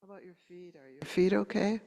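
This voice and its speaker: A woman meditation teacher leading a guided meditation in a gentle, unhurried way, leaving long pauses between short phrases. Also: medium pitch, level tone, vocal fry. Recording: long hall reverb.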